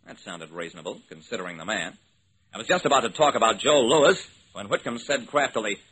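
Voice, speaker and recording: A man's voice: fairly low pitch, animated, a little fast, sharp tone, slightly rough, full character voice. An actor playing a wry, level-headed investigator, narrating his case in a clipped, matter-of-fact way in an old-time radio drama. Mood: soothing, searching. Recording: noisy old radio recording.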